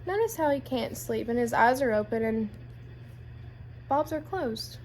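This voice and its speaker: High-pitched, animated woman reading to children. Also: measured pace, slight background noise, poor audio. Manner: addresses children with guiding questions. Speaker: read-aloud storyteller. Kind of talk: animated children's picture book narration.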